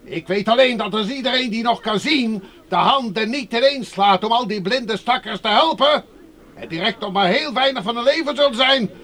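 An actor playing an angry, accusing agitator who rants coarsely in a radio drama. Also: male voice, extremely high pitch, moderate pace, pushed and bright, exaggerated voice. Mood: defiant, taunting. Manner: ranting, accusing, coarse-mouthed. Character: angry sighted agitator shouting at the gate for the blind. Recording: noisy radio play recording.

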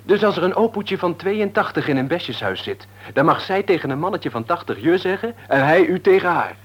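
A cheeky, higher-voiced nineteen-year-old lad. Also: male voice, expressive, fairly quick, husky. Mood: teasing. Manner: breezy, teasing, bantering. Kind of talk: Dutch radio play acting. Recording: old radio drama recording.

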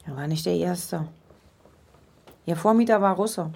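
A forthright widowed landlady in a radio play. Her voice is low and husky with a gravelly creak, and she speaks in a close, intimate way. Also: measured pace, darkish tone. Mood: confiding. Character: forthright widowed landlady, fond of rum tea.

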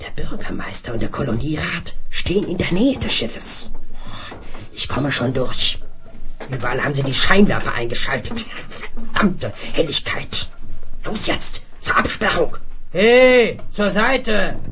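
A man's voice: fairly high pitch, sing-song, unhurried, pushed and bright, a little hiss or hum, full character voice. Sneering, brutal colonist father. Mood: impatient, aggressive. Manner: gruff, bullying, sneering. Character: brutal, greedy, scornful adoptive father in space colony. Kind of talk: 1970s German radio play acting.

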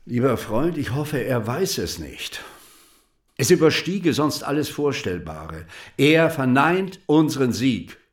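Radio-play French writer-politician, sardonic orator, mid-pitched and very animated. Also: male voice, slow pace. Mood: indignant, urgent. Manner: rhetorical, ironic. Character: bitter, patriotic French writer and deputy, eloquent, sardonic.

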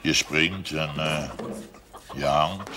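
An actor playing a gruff, stubborn but soft-hearted older husband in a television period drama, gravelly, blunt and halting. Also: male voice, rumbling low. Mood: indignant, grudging. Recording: noisy old television soundtrack.

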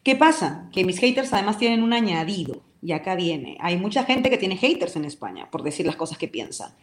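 A woman's voice: fairly low pitch, sing-song, brisk. A woman from Peru with a Peruvian accent, a guest in a radio interview who speaks her opinions in a vehement, passionate way. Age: adult with a decade living abroad. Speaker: interview guest, talk show host and commentator. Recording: roomy echo.

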